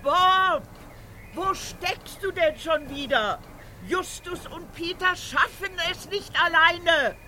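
Very high-pitched, impatient caller. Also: female voice, takes it slowly, bright, forceful tone, slight background noise, heavily acted. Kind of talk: audio drama acting.

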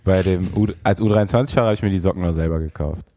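Deep, mellow-voiced laid-back man. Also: racing, no room sound, studio quality. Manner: laid-back, tags sentences with "ne?". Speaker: former pro cyclist, podcast co-host. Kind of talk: casual podcast chat.

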